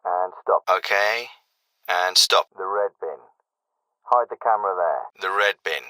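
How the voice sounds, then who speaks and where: An actor playing a gruff, sardonic British special forces team leader in a video game, giving terse, dry military commands. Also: male voice, deep voice, unhurried, bright, forceful tone, no echo, rough recording. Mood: calm, low. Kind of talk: military radio chatter and commands.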